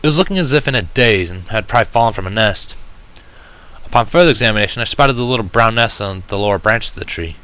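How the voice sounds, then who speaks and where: A man narrating a first-person story in an audiobook style, reading in a measured, wry manner. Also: lowish voice, lively intonation, sharp tone, clean tone, rough recording. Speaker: fan fiction reader.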